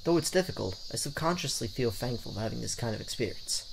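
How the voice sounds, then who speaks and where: A man's voice: medium pitch, expressive, fast talker, gravelly, background noise. A man hosting a let's play, reading game text aloud in a theatrical way with casual reactions. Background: game music.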